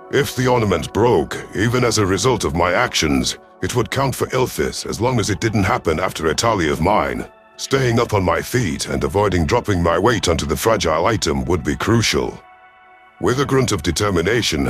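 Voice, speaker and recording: Male narrator for a sci-fi audio story: deep register, measured dramatic read, light music bed. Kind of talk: dramatic first-person scripted narration.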